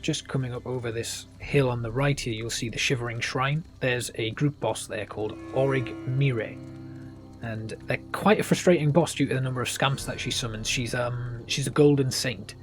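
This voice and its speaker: A man's voice: mid-range voice, fairly even intonation, normal speed. A man hosting a gaming video, narrating a walkthrough in a casual style. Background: game music.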